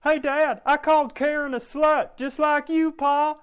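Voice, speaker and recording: Man doing an eager, high-pitched little boy. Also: flat delivery, a little slow. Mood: eager, proud. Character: eager dim little boy bragging to his dad.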